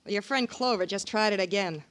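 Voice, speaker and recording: An actress playing a scornful, hard-boiled murderous wife, taunting and sardonic, in 1950s radio crime drama. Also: female voice, medium pitch, brisk, fairly bright tone, natural voice. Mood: mocking.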